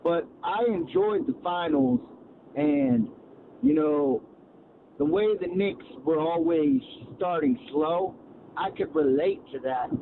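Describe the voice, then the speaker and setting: A man's voice: on the high side, very animated, slow pace. A middle-aged man from Ohio calling into a radio show, chatting in a folksy, self-deprecating way. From Ohio, USA. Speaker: caller. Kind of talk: phone-in chat. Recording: tinny phone line with faint hiss.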